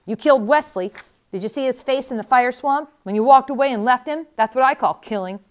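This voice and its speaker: A woman voicing an uncannily articulate baby from a storybook nightmare, blunt, loud and accusatory. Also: high voice, a little fast, voice pushed up, close to an everyday voice. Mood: accusing.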